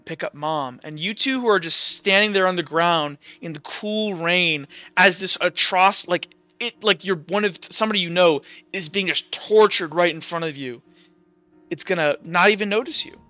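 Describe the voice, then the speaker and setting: A man running a tabletop roleplaying game as game master, improvising dramatic narration haltingly and swearing casually. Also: sits high, bright, forceful tone, no room sound, highs cut off, soft background music.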